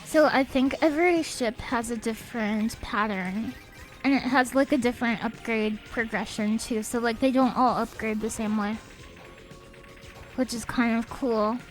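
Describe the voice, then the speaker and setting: A young woman chatting casually on a game stream. She is a little sniffly, speaks softly, and reads out chat messages. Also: a little high, a little slow, low-quality recording. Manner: soft-spoken, reads chat aloud. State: sniffly, a bit stuffed up. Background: faint game music.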